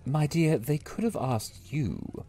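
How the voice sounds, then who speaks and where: An actor playing a weary, sardonic afterlife judge in an audio drama, with a gravelly voice. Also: male voice, on the high side, animated, slow, dark tone, heavily acted. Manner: sardonic, commanding. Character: weary judging male afterlife tavern keeper, grim and sardonic.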